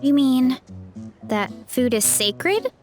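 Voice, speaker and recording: High-voiced, guileless young heroine. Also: female voice, sing-song, slow, over music, heavily acted. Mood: gentle, unsure. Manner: gentle, hesitant, guileless. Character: friendly, naive young woman warrior. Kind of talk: video game voice acting.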